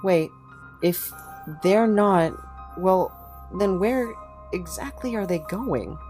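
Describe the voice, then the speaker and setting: A woman's voice: medium pitch, slow, a little mellow, faint music behind, lightly acted. A woman voicing an anxious, indignant young character in a cartoon comedy, earnest and exasperated.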